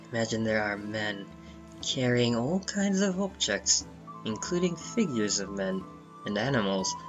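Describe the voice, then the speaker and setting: A man's voice: fairly low pitch, lo-fi sound. A man narrating a comic book dramatically, with theatrical, emphatic phrasing. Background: background music.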